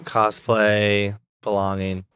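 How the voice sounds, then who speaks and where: A woman with an extremely deep voice for a woman co-hosts a podcast. She talks casually, using slang and tossing in questions in an informal way. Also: flat delivery, takes it slowly, fairly bright tone, smooth. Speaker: podcast co-host.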